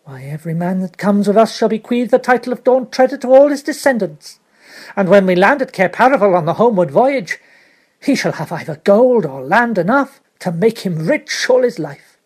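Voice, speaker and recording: A young storybook king addressing his crew, as a reader puts him on, raised in pitch and volume above the narration. His speech is formal and commanding, with a husky touch. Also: animated, moderate pace.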